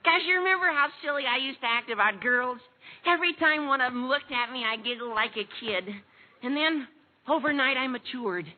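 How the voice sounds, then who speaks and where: An actor playing an earnest, tactless high school boy, gushing and self-important in 1940s radio comedy style. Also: brisk. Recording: tinny, hissy old radio recording.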